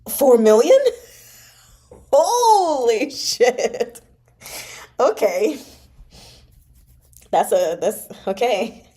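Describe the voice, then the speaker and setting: Mellow, animated woman reading a novel aloud. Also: fairly high pitch, extremely slow, long pauses. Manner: playful, giggly asides. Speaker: live read-along host. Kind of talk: novel narration with casual, amused commentary.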